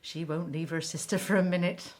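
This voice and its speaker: Woman as a prim, husky-edged Victorian housekeeper. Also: fairly low pitch, steady tone, fairly quick, darkish tone, close to an everyday voice. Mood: concerned, deferential. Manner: prim, polite, earnest. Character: deferential, proper Victorian housekeeper, anxious and respectful. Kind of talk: audio drama acting.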